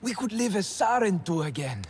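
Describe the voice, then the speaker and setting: An actor playing a restless, defiant young alien man in a video game, intense and wistful, with a husky voice. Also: high voice, big pitch swings, moderate pace, heavily acted. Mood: defiant yearning. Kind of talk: video game cutscene acting.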